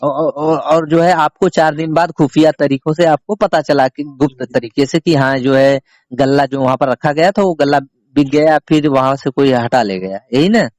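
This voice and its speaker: Insistent male phone reporter, higher-pitched voice. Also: moderate pace, a little mellow, no echo. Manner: insistent, repetitive, emphatic questioning. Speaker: reporter questioning a complainant by phone. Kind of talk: informal phone interview. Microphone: recorded phone call.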